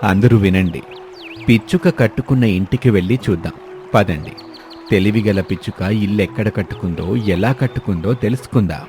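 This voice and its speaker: A man's voice: lowish voice, moderate pace, a little mellow, faint music behind, voice pushed down, close to an everyday voice. A man voicing a commanding, stern eagle who leads the birds in a children's cartoon, in an authoritative, announcing way. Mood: rallying. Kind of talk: children's cartoon story voice acting.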